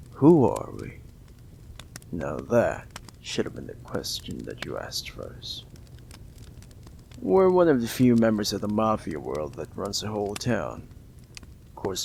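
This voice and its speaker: A man voicing a smug, possessive mafia boss in an audio roleplay, teasing and condescending, with a gravelly drawl and long pauses. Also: darkish tone, slight background noise, heavily acted. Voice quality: low, gravelly, drawling delivery with drawn-out pauses. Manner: teasing, condescending, calls listener darling.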